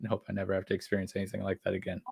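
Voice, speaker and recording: A man's voice: low-pitched, completely flat delivery, rapid-fire, creaky, darkish tone, breathy edge, dry recording. A man in his thirties co-hosting a podcast, chatting casually and rambling with lots of fillers. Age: 30s. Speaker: podcast co-host. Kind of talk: casual chat.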